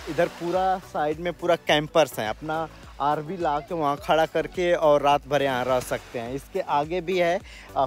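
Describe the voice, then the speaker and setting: A man in his thirties chatting casually to a handheld camera outdoors at a campsite and a beach, laughing often and mixing in English. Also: on the high side. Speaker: family vlogger. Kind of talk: casual vlog narration. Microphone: handheld camera at arm's length.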